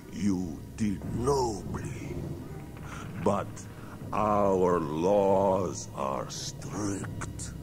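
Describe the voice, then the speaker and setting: An actor playing a stern, imperious Inca ruler in a radio drama, solemn, commanding and declamatory. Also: male voice, lowish voice, noisy background, full character voice.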